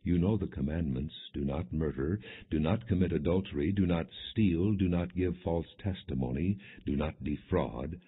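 A man narrating scripture as an audiobook in a measured, deliberate, expressive way. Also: low voice, slightly rough. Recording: muffled, phone-like band-limited audio.

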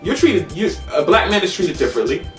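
A man in his thirties co-hosting a casual, opinionated discussion, halting and repeating words as he makes his point. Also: high-pitched, very animated, soft background music. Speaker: co-host of online discussion show. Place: small room at home, indoors. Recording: echoey home room, distant mic.

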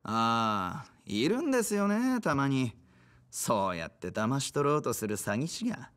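An actor playing a stubborn, dismissive pawnshop clerk in a video game, sneering and flippant. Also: male voice, mid-range voice, big pitch swings, a little slow, fairly bright tone. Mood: suspicious, scornful. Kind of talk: video game cutscene acting.

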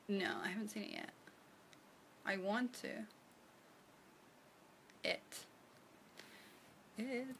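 A woman's voice: fairly high pitch, bright, forceful tone, a little hiss or hum. A young woman, chatting casually on a phone livestream from a small room and reading viewer comments aloud with frequent giggles. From New Zealand. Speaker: livestream host. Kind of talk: casual live-stream chat answering viewers. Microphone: phone at arm's length.

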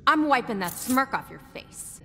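A woman's voice: sits high, very animated, moderate pace, background noise. An actress playing a confident, combative young female soldier in a video game, with a brash, taunting manner. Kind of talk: video game character acting.

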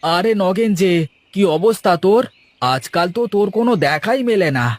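A man voicing an excitable, vengeful farmer, an elder brother in a cartoon fairytale, with emphatic delivery. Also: high voice, normal speed. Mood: friendly. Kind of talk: animated moral fairytale dialogue. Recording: music behind the voice.

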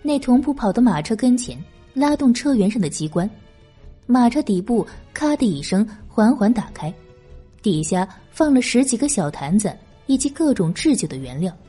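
Expressive woman narrator with a soft-edged voice. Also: a little high, moderate pace, slightly rough, faint music behind. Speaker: audiobook narrator. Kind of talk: dramatic scripted narration of a historical novel.

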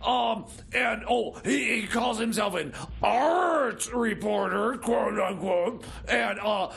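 A man's voice: sits high, slow pace, vocal fry, fairly bright tone, shouting, full character voice. A man acting a ranting, paranoid radio sidekick who stammers, repeats himself and rambles in improvised comedy radio theater. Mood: indignant ranting. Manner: stammering, repetitive, rambling, flustered. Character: ranting, blustering, paranoid male Trump-loving radio sidekick.

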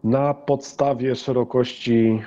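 A man's voice: mid-range voice, fairly even intonation, slow, dark tone, muffled recording. A man improvising as game master of a tabletop game, often repeating himself and checking with a tag question.

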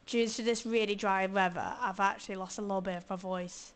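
A hoarse woman chatting casually as she streams a video game, thinking aloud and grumbling playfully. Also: medium pitch, steady tone, brisk. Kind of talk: casual let's-play commentary.

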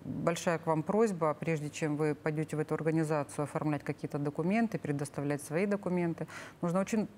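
A woman's voice: low-pitched, quick pace, a little mellow, slight background noise. A middle-aged woman explaining things as an expert guest in a studio interview, searching for words. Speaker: government official, expert guest. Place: TV studio, seated at a table. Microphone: lapel.

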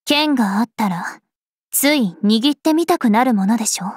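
A woman playing a regal, serene young planetary spirit princess in an anime game, speaking formally and with measured elegance. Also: a little high, lively intonation, slightly rough, no echo. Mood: serene, reflective. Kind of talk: scripted anime game voice lines. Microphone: studio microphone. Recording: music behind a close dry studio voice.

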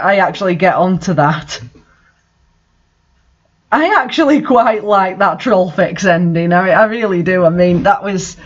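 A man with a notably high voice reads amateur fiction aloud. His delivery is theatrical and wry, and the recording is a bit dull and lo-fi. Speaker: host reading fan fiction aloud. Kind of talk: dramatic reading with casual asides.

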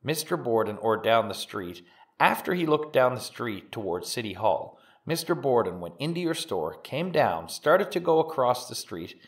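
A man playing a formal, methodical prosecutor in a dramatized 1890s trial, putting deliberate, clarifying questions. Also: medium pitch, very animated. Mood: deliberate, probing. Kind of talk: dramatic reading of a trial transcript.

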